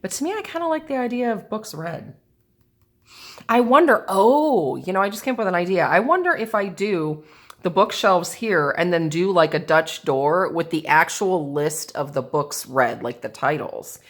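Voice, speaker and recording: A woman's voice: on the low side, expressive, normal speed. Stuffy-voiced woman chatting over crafts. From American Midwest. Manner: thinking aloud, indecisive, chatty with viewers. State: stuffy, sinusy voice. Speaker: planner and journal crafter. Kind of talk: casual crafting chat. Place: indoors at a craft table. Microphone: camera over the table.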